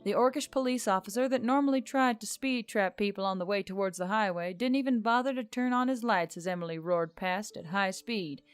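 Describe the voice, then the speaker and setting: A woman's voice: mid-range voice, fairly quick, few pauses, smooth. Woman narrating fiction over faint music. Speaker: story narrator. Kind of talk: expressive scripted fiction narration. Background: background music.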